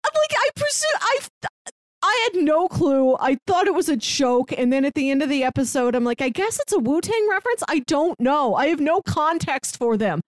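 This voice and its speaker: A woman co-hosting a casual podcast, talking quickly with frequent laughter. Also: high voice, very animated, no room sound. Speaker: podcast co-host.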